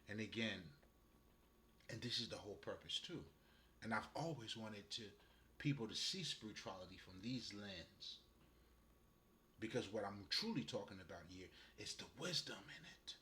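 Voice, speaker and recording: Brief: man with a Haitian accent, bright animated delivery, slow and unhurried, echoey room. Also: on the low side, slight background noise. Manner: profane, laughs often, loose and playful. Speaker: spiritual guide. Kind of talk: casual spiritual monologue.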